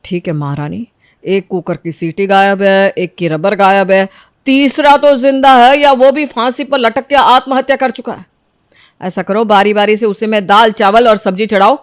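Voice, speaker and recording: A woman voicing a bossy, sarcastic young husband in an animated story, scolding his wife. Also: mid-range voice, normal speed, dry recording, studio quality, close to an everyday voice.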